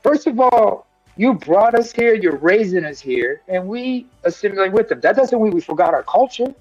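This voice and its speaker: A man's voice: high voice, animated, moderate pace, darkish tone, no room sound, muffled recording, soft background music. A man co-hosting a live stream, talking earnestly in long, rambling thoughts full of fillers. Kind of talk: casual discussion.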